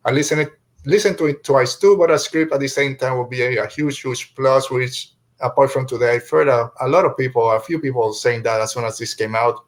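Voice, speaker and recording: A man with a mid-pitched voice hosts a casual review discussion. He rambles a little, often backing up to reword a thought halfway through a sentence. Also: fairly quick. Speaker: podcast host.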